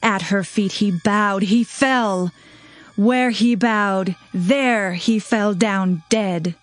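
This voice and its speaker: A medium-pitched female voice of an actress as a triumphant poetess, very slow and deliberate. She declaims ancient verse as audio scripture drama. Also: soft background music. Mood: solemn triumph. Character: triumphant female singer-poet reciting a victory ode.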